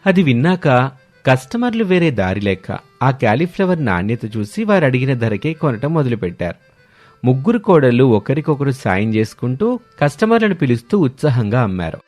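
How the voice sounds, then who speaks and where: A man's voice: medium pitch, big pitch swings, normal speed, darkish tone. A man reading scripted narration of a moral story as the storyteller. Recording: music behind the voice. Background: background music.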